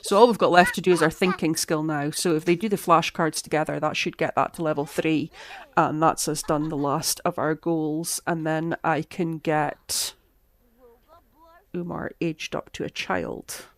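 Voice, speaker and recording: A woman, chatting through a live-streamed game, a little hoarse and talking to her characters. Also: sits low, flat delivery, moderate pace. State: slightly hoarse, losing her voice. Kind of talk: live-stream gameplay commentary.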